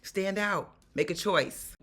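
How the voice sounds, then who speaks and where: A woman in her forties from New York, with a New York accent and a raspy voice, giving emphatic acting advice straight to camera from a small room at home. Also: mid-range voice, slow. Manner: animated, emphatic, repeats key points. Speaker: acting coach.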